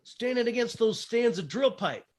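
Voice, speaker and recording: A man's voice: very high voice, animated, moderate pace, dry recording. An actor playing a timid, easily spooked young oil-rig man in radio horror drama style, hesitant and folksy. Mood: uneasy. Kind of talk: old-time radio horror drama acting.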